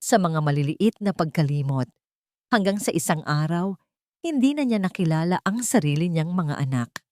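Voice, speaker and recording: A woman playing a gentle, coaxing young fiancée who feigns concern in an audio romance drama. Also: fairly low pitch, expressive, normal speed, no room sound, close to an everyday voice. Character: sweet-talking scheming young fiancée, falsely concerned.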